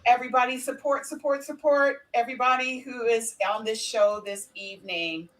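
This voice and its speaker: A woman's voice: fairly high pitch, steady tone, normal speed, fairly bright tone, low-quality recording. A woman hosting an unscripted live podcast, talking off the cuff as she runs the show. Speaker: podcast host.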